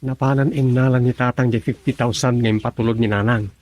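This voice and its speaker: Worried older brother, male actor, radio drama: Ilocano accent, mid pitch, earnest, dry recording. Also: steady tone, fairly quick, a little mellow, no room sound, lightly acted. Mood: troubled. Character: worried, mild-mannered adult son and older brother.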